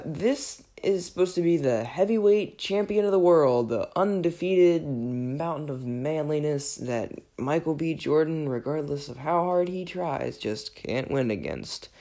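Raspy, sick podcaster rambling. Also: medium pitch, a little mellow. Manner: rambling, self-deprecating, jokingly exasperated. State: sick, under the weather. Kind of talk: casual rambling film commentary.

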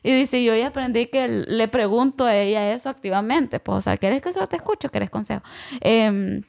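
A young adult woman musing aloud in casual conversation, rambling with lots of fillers and slipping in English words. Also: a little high.

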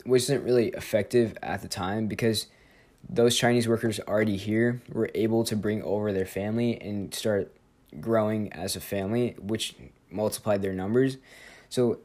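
Rambling teenage boy with a low voice. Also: a little mellow. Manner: rambling, with many fillers and self-corrections. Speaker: student podcast host. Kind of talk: casual spoken presentation and interview.

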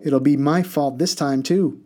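A man's voice: on the high side, measured pace, dark tone, voice pushed up, natural voice. A man voicing an anxious young boy who frets. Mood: worried. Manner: worried, fretful.

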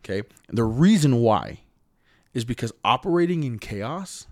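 A man's voice: medium pitch, sing-song, moderate pace. A man who stutters, a podcast guest talking earnestly and with emphasis in a casual conversation.

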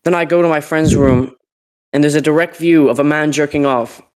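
A man's voice: on the high side, fairly even intonation, a little fast, darkish tone, smooth. A young man with a cold, telling a story in a casual podcast chat, rambling with many fillers and restarts. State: sick, sweating. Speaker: podcast co-host.

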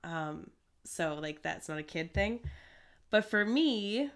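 A woman co-hosting a casual podcast with a stuffy, hoarse voice from a cold. She rambles and fills her speech with "like" and "um". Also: fairly low pitch, lively intonation, takes it slowly, a bit bright. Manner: rambling, many fillers like "like" and "um". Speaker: podcast co-host. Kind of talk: casual chat.

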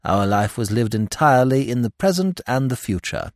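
A man's voice: mid-range voice, animated, normal speed, no echo, clean studio sound, lightly acted. A man reading an audiobook, voicing a grieving, dignified middle-aged widow who speaks in a reserved, composed way. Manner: reserved, composed, breaking into sobs.

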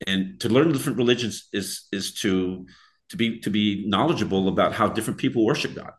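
A man with a deep voice, a podcast guest telling stories in an earnest, plain-spoken way. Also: fairly quick. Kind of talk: casual storytelling.

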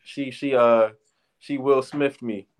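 A man's voice: mid-range voice, fairly even intonation, slow pace, clean tone. A man in his thirties from the Detroit area, telling his life story in a rambling, slangy way as an interview guest. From Detroit area, USA. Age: 30s. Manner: rambling, many restarts and slang. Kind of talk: casual personal testimony.

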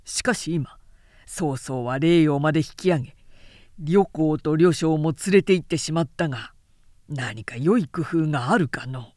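A woman's voice: deep voice, moderate pace, darkish tone, no echo, clean studio sound, lightly acted. A woman voicing a proud, boastful young warlord husband in a historical audiobook, casual and self-assured. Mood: pondering.

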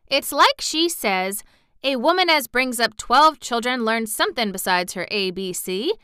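A woman's voice: fairly high pitch, lively intonation, a little slow, sharp tone, smooth, dry recording, studio quality, pitched above the performer's own voice. A woman doing a chatty housemaid. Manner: broad dialect, eager and chatty. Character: cheerful young Yorkshire housemaid, homely and talkative.

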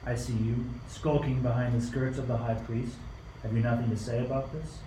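A man's voice: mid-range voice, level tone, moderate pace, warm tone, lightly acted. An amateur actor playing a stern, suspicious tyrant king in a radio play, with an imperious, declamatory delivery. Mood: commanding, scornful. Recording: roomy, distant mic, faint noise.

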